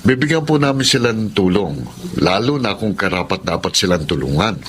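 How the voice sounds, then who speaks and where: An actor playing a polite, sympathetic TV interviewer in a Filipino radio drama, mixing in some English. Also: male voice, on the low side, lively intonation, a little fast, fairly bright tone, a little hiss or hum. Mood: polite, earnest. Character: courteous, concerned male TV program interviewer.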